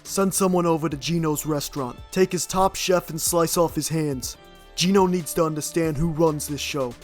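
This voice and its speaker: An actor playing a ruthless mafia boss in a comic book audio drama, giving blunt, menacing orders. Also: male voice, on the high side, moderate pace, soft background music, natural voice. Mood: cold threat.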